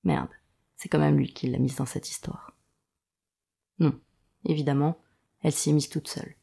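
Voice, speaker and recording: A woman's voice: low-pitched, steady tone, moderate pace, creaky, dark tone, slightly rough, heavily acted. A woman acting as a dry, sardonic storyteller, narrating a noir audio drama. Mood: wry, subdued. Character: wry, detached storyteller of a noir tale.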